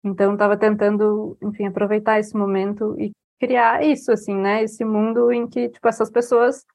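A woman's voice: mid-range voice, flat delivery, normal speed, darkish tone, dry recording. A woman from Brazil, a writer chatting casually in an interview, rambling with many fillers. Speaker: author guest.

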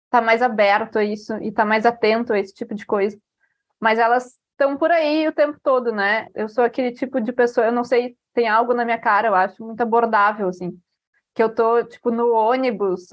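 A woman's voice: fairly high pitch, dry recording. A woman from Brazil, a writer chatting casually in an interview, rambling with many fillers. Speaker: author guest.

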